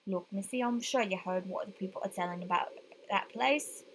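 A woman's voice: mid-range voice, normal speed, close to an everyday voice. A woman voicing a wary, superstitious male taxi driver in a visual novel.

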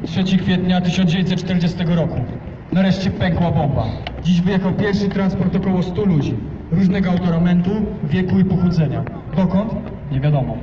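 A man reading diary entries aloud at an outdoor memorial march, in a terse, grave, measured manner. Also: sits high. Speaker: reader of diary excerpts. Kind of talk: solemn reading over loudspeakers. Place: outdoor street march in a city. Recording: distant PA in open air, reverberant, dull, noisy.

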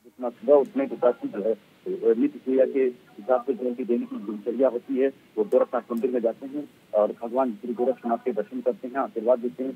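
Man, middle-aged, husky, muffled narrow recording, wordy live news report. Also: mid-range voice. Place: outdoors outside a government office. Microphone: handheld microphone. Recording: muffled, narrow-band live outdoor link.

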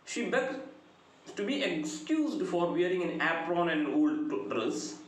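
A middle-aged man with an Indian accent giving a literature lecture, deliberate and emphatic, phrase by phrase. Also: on the high side, big pitch swings. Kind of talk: lecture summarizing a play. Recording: very echoey room, faint background noise.

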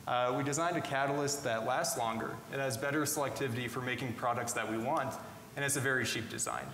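Man, 30s, slightly raspy, steady science presenter, filler-heavy, heard far off in a reverberant ballroom. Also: mid-range voice, quick pace, slight background noise. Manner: steady explanatory delivery with frequent "uh" fillers. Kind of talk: conference technology presentation. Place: hotel ballroom with seated audience. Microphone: room PA, captured by far camera. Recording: echoey hall from far off.

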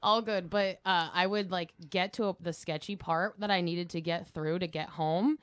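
A young woman with a lowish voice tells a breezy, half-laughing story. Her recording sounds close and dry. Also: fairly even intonation, normal speed, clear, resonant tone, no echo. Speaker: podcast guest. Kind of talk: casual storytelling.

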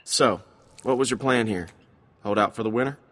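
An actor playing a wary, level-headed young man in a video game drama, with a husky voice. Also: medium pitch, moderate pace, a bit bright, big-room sound, natural voice. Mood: guarded.

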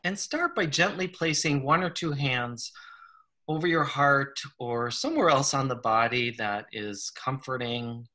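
A man leading a guided meditation in a gentle, unhurried manner, leaving long pauses between short phrases. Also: medium pitch. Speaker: guided meditation leader, psychologist. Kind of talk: slow guided meditation, soothing instruction.

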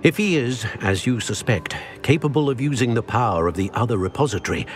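An actor playing a stern, solemn older wizard professor in a video game, speaking formal in a measured way. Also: male voice, mid-range voice, animated, close to an everyday voice. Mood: grave, solemn. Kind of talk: dramatic game cutscene acting. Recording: music behind.

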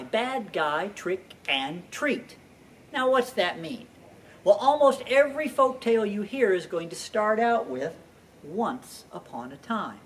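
An older American man in his sixties speaks to young children as an educational presenter. His delivery is lively, with big swings in pitch and deliberate emphasis. He is picked up by a distant microphone with a little room noise. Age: 60s. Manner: animated, deliberate teaching with repeated emphasized phrases. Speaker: children's library storyteller and presenter. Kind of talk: children's educational introduction, addressing young viewers. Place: indoors in a library.

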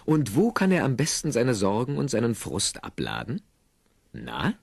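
A man narrating a comedy audio drama in an animated, wry way with rhetorical questions. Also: medium pitch, normal speed, vocal fry, breathy edge, dry recording. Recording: music under the voice. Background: music.

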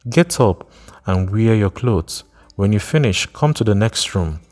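A man voicing a kind male doctor who speaks calmly and reassuringly. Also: fairly low pitch, measured pace, long reverb tail. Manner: sympathetic, reassuring.

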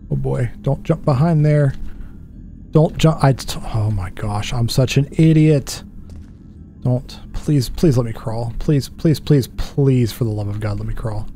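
A man in his thirties with a headset, chatting and joking to himself through a horror game, mocking his own mistakes and jumping up in pitch when something startles him. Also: vocal fry, dark tone. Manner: chatty, self-mocking, jumpy pitch swings. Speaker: gaming channel host. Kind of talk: horror game let's play commentary. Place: small dark room at a desk. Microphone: headset with desk mic.

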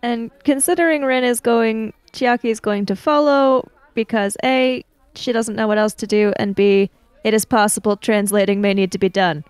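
A woman playing a tabletop roleplaying game, describing her character in a measured, matter-of-fact way. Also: on the high side, clean tone, no room sound. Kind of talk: third-person roleplay narration.

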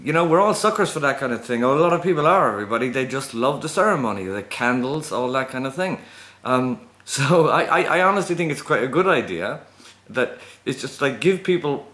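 A man's voice: mid-range voice, animated, brisk, fairly bright tone. A middle-aged man chatting casually to camera at home, hesitant and thinking aloud. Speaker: vlogger. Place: small room at home.

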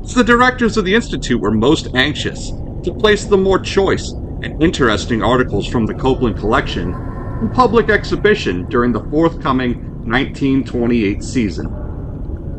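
A man reading a horror story aloud as host and narrator, in a measured, formal way. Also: mid-range voice. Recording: clearly noisy background.

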